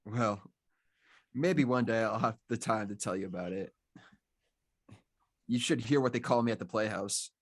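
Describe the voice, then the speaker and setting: A man's voice: fairly low pitch, normal speed, pauses often, lightly acted. An actor playing a bashful, easygoing man on a date in a scripted table read, hesitant and understated.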